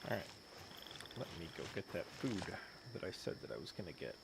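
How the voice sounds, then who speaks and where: A man with a low, gravelly voice gives casual live game commentary. He talks fast in irreverent muttered asides that swing widely in pitch, with loud game music behind. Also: very animated, very noisy. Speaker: game livestreamer.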